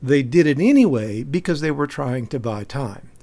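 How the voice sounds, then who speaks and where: An American man speaks as an activist commentator, answering point by point. His delivery is emphatic and argumentative, with a mid-pitched voice and a pointed way of stressing his objections. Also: expressive, moderate pace, dark tone. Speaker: activist commentator giving a response. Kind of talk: spoken rebuttal commentary.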